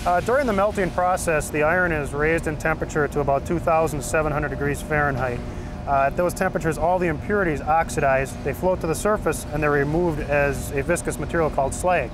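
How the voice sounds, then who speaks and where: A man's voice: fairly high pitch, fairly quick. A young man, a foundry supervisor, explaining the process plainly with small hesitations. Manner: matter-of-fact, uses "uh" fillers. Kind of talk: technical explanation in interview. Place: scrap yard at a foundry. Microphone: lapel mic. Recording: loud industrial noise behind.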